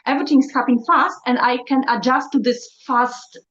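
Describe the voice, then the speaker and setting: Halting non-native woman, echoey lo-fi call. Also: sits high, crowd noise. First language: not English. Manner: searching for words, halting, reflective. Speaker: session participant. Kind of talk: sharing reflections in a group call.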